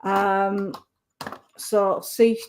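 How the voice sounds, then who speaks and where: A woman with a Yorkshire accent giving a live psychic reading on the radio, gentle and reassuring, often restarting her sentences. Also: mid-range voice, level tone, drawn-out words, gravelly, a little hiss or hum.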